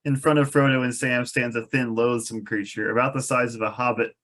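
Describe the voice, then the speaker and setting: A man's voice: mid-range voice, fairly even intonation, fairly quick, a bit bright. A man reading out stage directions as narrator at a fantasy script table read, with a wry manner.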